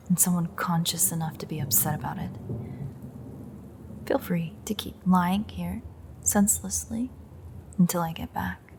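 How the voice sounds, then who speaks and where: Woman acting a creaky, sardonic vampire woman. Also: on the low side, warm tone, a little hiss or hum. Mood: frustrated, wry. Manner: sardonic, profane, taunting. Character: bitter, threatening female vampire, reluctant old enemy. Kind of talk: intimate audio roleplay monologue.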